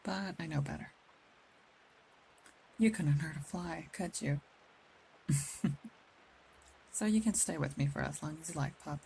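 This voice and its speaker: A woman voicing a caring, protective female werewolf in an intimate ASMR roleplay, speaking gently and confidingly with pauses. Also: deep voice, creaky, slight background noise, low-quality recording. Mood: tender, reassuring. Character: caring, protective female werewolf, older than she looks, wistful. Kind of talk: ASMR roleplay, intimate scripted acting addressed to one listener.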